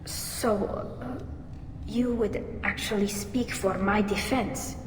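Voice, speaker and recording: A woman's voice: mid-range voice, fairly even intonation, takes it slowly, background noise, close to an everyday voice. A woman playing a proud, earnest young female alien engineer in a video game. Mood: subdued surprise. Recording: echoey hall, music underneath.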